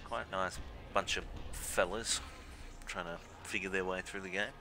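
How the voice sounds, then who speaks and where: A man's voice: sits low, fairly even intonation, a little slow. A man chatting casually as he streams a video game. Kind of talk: casual gaming chat. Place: indoors, voice over gameplay. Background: music.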